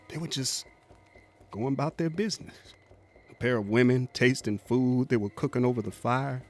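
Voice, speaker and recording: Actor playing a folksy, haunted cavalry soldier over music. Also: male voice, medium pitch, natural voice. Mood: bewildered. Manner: folksy, ungrammatical, halting storytelling. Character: haunted US Cavalry veteran, rough-spoken frontier soldier. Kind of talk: dramatic first-person monologue, radio drama.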